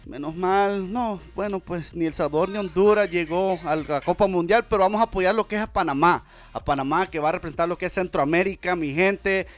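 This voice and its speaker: A man hosting a casual Spanish-language radio podcast, talking with energetic, repetitive, playful hype. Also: high voice, a little fast, faint music behind. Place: home studio in Las Vegas.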